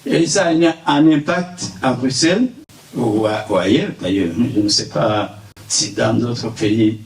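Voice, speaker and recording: A man's voice: mid-range voice, a little slow. A man from Burundi speaking at a press conference, earnest and hesitant with many fillers. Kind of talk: unscripted remarks at a press conference. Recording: echoey room, distant mic, faint hiss.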